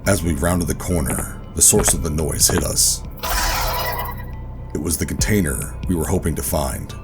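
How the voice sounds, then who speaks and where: A man playing a weary, guarded government operative, telling a sci-fi horror audio drama in a brooding, deliberate way. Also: rumbling low. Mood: ominous, tense. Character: weary, guarded male government operative narrating his own ordeal. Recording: music under the voice. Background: music.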